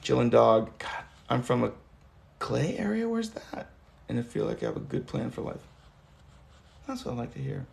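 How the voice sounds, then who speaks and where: A hoarse man in his thirties hosting a casual livestream, talking loosely and swearing now and then. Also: mid-range voice, very animated, normal speed, long pauses, darkish tone. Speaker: livestream host. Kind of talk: rambling casual livestream chat. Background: guitar music.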